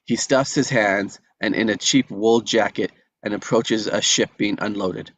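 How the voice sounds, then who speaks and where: A man's voice: on the low side, fairly even intonation, measured pace, fairly bright tone, poor audio. A man reading out stage directions as narrator in a scripted table read.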